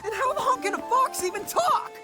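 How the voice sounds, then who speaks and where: A goofy, excitable young American guy in a game cutscene. He sounds clueless and swings widely in pitch over clear music. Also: a little fast. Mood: exasperated. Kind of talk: animated game cutscene acting.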